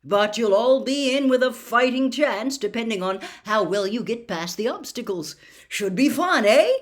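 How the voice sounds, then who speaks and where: A woman's voice: on the high side, expressive, normal speed, natural voice. Here is a bouncy, jovial official from a storybook , voiced by a woman reading aloud. He is hearty and chummy, with a raised, swooping delivery. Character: cheerful, bouncy, jovial adult man, eager contest official.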